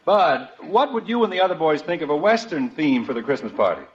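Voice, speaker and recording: A man's voice: a little high, very animated, fairly quick. An actor with an American accent playing a genial but stubborn middle-aged father in an old-time radio sitcom. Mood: amused, easygoing. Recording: dull vintage radio broadcast recording.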